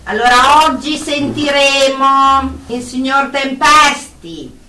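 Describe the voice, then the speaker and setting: A woman's voice: sits high, slow pace, sharp tone, heavily acted. A woman playing a stern, controlling group therapist in a radio drama, speaking formally and with authority. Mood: formal, reproving. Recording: roomy, distant mic, faint noise.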